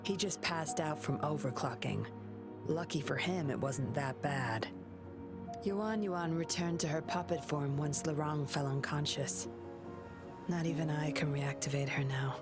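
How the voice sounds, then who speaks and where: A woman's voice: deep voice, measured pace. A woman voicing a sly, confident female undercover investigator in a video game, with a coy, composed, teasing manner. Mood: serious, businesslike. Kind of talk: scripted video game voice acting. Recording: music under the voice.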